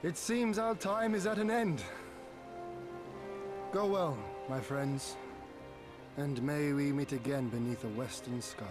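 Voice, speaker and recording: A resolute young lord, music behind. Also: male voice, on the high side. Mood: warm, solemn. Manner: formal, archaic, measured diction. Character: noble young lord leading a liberated nation, resolute and gracious. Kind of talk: video game voice acting.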